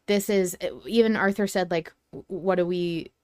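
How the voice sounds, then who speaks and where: Clear-voiced woman chatting on a podcast. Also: fairly low pitch, fairly even intonation, moderate pace, no echo, studio quality. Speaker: podcast co-host. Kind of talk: casual fan discussion podcast.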